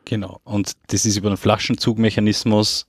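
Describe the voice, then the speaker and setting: A young man from Austria, a company co-founder talking about his background in a relaxed, matter-of-fact way. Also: fairly low pitch, brisk, husky, no echo, clean studio sound. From Lower Austria. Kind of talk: casual interview talk.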